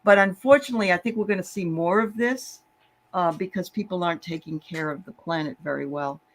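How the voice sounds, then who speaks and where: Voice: medium pitch, brisk, a little hiss or hum. A host of a psychic show giving readings in a casual, encouraging chat.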